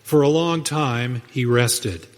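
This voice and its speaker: A man's voice: medium pitch, takes it slowly, noisy background. A man narrating a western radio drama in a scripted, dramatic storytelling style. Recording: reverberant hall sound.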